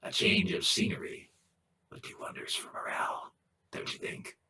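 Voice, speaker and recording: A man voicing a calm, persuasive figure offering a weighty choice in a game cutscene, speaking in measured, hushed phrases. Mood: persuasive, grave. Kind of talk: slow, dramatic game cutscene dialogue. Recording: distant, roomy, faint background noise.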